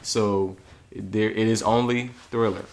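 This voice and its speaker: A man's voice: on the low side, steady tone, slow. Male co-host, casual, everyday voice, lots of agreeing murmurs. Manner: agreeable, backchannels a lot. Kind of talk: casual chat.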